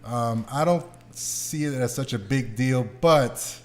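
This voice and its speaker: A man talking casually and bluntly as a podcast host, opinionated and quick to swear. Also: medium pitch. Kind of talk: casual group podcast chat.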